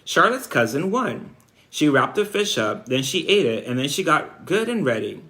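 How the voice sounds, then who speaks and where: Man reading as an earnest, excitable little farm girl. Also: fairly high pitch, moderate pace, heavily acted. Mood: defiant, excited. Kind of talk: storybook read-aloud.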